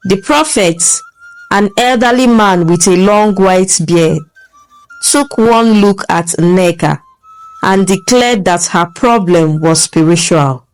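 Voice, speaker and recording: A woman narrating a folktale in a slow, deliberate reading voice. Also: medium pitch, a little mellow, soft background music, rough recording. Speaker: storyteller. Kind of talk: scripted story narration.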